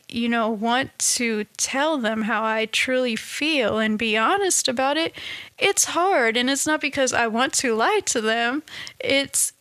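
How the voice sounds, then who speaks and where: A woman talking in a casual, reflective monologue, halting and restarting often, with a slightly nasal, stuffed-up voice. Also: sits high, dry recording. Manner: halting, many restarts and soft laughs. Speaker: vlogger.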